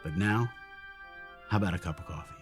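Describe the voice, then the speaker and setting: An actor playing a troubled man in a radio drama, swinging between frantic and gentle. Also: sits low, brisk, soft background music, lightly acted. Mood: soft, reassuring.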